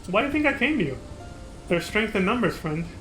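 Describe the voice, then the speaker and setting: A man voicing a smooth-talking male treasure hunter with an attempted Italian mobster accent, bargaining persuasively. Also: high-pitched, sing-song, fast, fairly bright tone, faint music behind, poor audio. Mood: scheming.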